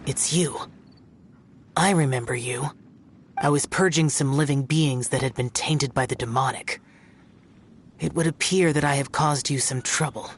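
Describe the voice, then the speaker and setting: A man's voice: mid-range voice, moderate pace, long pauses, slight background noise, lightly acted. A man voicing an aloof young male demon-slaying guardian in a video game who speaks in a formal, terse and solemn way. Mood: grave. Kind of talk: video game cutscene voice acting.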